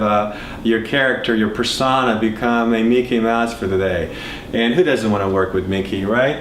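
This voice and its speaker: A man walks through his thinking at a whiteboard, restarting sentences and drifting into asides. His voice sits at an ordinary middle pitch. The studio room gives a noticeable echo over a faint hiss. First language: Serbo-Croatian. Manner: loose, rambling explanation with many restarts and asides. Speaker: entrepreneur explaining ideas. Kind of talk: whiteboard talk to camera. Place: indoor studio in front of a whiteboard.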